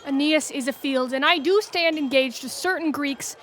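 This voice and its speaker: A woman's voice: sits high, moderate pace, fairly bright tone, a little hiss or hum. A woman playing a noble, dutiful and stubborn warrior prince in a Shakespearean audio drama. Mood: firm, respectful.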